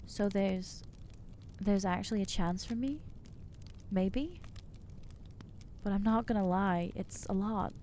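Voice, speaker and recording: A woman playing an anxious, newly turned vampire in an ASMR roleplay, speaking in a hesitant, earnest, halting way with gentle inner conflict. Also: mid-range voice, a little hiss or hum, close to an everyday voice. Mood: tentative hope. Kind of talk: ASMR roleplay acting, intimate monologue.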